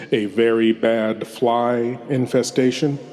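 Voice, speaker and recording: A man hosting a horror show with scripted, theatrical delivery and deliberate pauses. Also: medium pitch, steady tone, a little mellow. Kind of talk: scripted horror host outro. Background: faint music.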